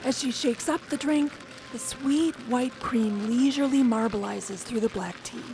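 Woman reporter narrating a radio feature, higher voice. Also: over music, poor audio. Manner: measured, descriptive storytelling read.

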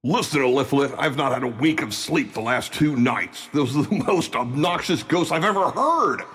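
An actor playing a blunt, blustery American army veteran in a radio play, plain-spoken and emphatic. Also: male voice, mid-range voice, animated, moderate pace, fairly bright tone, slight background noise, natural voice. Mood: fed up.

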